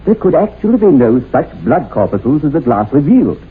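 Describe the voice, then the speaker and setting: An actor playing a perplexed physician, halting and formal, in a radio horror drama. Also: male voice, on the high side, big pitch swings. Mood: baffled, reluctant. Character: perplexed, hesitant male physician. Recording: tinny, hissy old radio drama recording.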